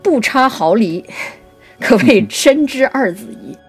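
A woman co-hosting a poetry programme, explaining classical literature conversationally and slowing down to recite quotations. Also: on the high side, lively intonation, breathy edge, faint music behind. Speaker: writer and co-host of a poetry programme.

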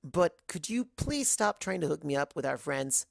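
A shy young bookish mare in a storybook, acted out by a narrator. The voice is creaky and hesitant, politely reasonable and a little flustered, in a dry recording. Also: medium pitch, big pitch swings, moderate pace, no room sound, heavily acted. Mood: weary, polite.

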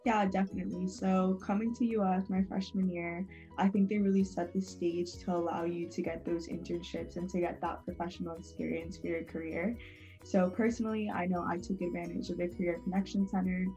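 A young woman from Venezuela, an engineering student, answering interview questions calmly over a video call. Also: lowish voice, a little fast, highs cut off, faint music behind. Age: young adult.

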